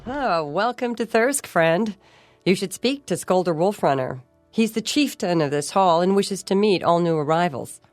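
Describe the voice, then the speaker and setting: A woman's voice: fairly low pitch, animated, normal speed, faint music behind, lightly acted. A woman voicing a blunt, plainspoken mead hall greeter in a fantasy game. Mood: blunt, welcoming.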